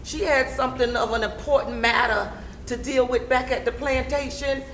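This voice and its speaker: Enslaved messenger played by a woman: gossipy, halting Southern period dialect, stage acting, roomy sound, faint noise. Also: sits high, steady tone, a bit bright. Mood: deferential. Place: stage of a theatre or hall.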